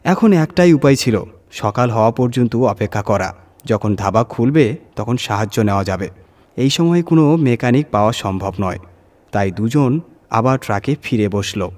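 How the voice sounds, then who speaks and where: Male horror story narrator, medium pitch. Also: animated, normal speed, darkish tone.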